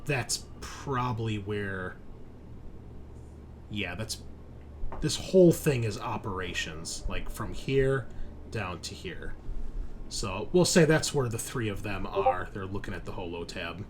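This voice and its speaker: A man's voice: fairly low pitch, lively intonation, slow, a little hiss or hum. A man running a tabletop roleplaying game as game master, explaining scenes and rules conversationally.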